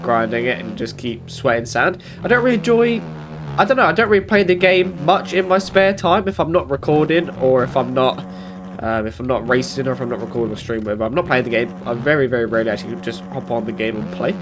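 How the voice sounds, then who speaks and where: A man's voice: medium pitch, fast. British guy with a stuffy bunged-up nose, chatting loosely over gameplay, ums and restarts, motorbike engines droning behind. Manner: rambling, many fillers and self-corrections. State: blocked nose from hay fever. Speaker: gaming YouTuber. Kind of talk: casual commentary while playing. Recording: game engine noise behind. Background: motorbike game engine sounds.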